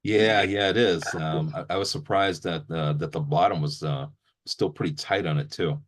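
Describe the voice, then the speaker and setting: A man's voice: sits low, normal speed. A man hosting a casual interview, speaking in an informal, conversational way. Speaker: interviewer and gear reviewer.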